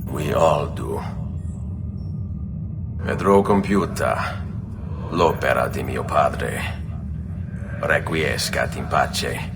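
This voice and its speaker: An actor playing a grave, resolute assassin of Renaissance Italy in a video game, with an Italian accent and measured, formal speech. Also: male voice, a bass voice, heavily acted. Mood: grave, solemn. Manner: measured, solemn, formal phrasing. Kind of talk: dramatic video game cutscene acting. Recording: music behind.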